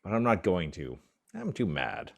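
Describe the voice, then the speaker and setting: Wry, exasperated boyfriend, animated pitch. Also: male voice, moderate pace, gravelly, heavily acted. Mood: angry, firm. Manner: wry, teasing, reproving. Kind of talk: radio play acting.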